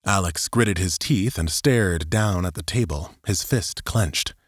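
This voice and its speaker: Guy narrating a dramatic audiobook, lowish voice, super dry studio. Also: measured pace, no echo. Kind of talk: scripted dramatic fiction narration.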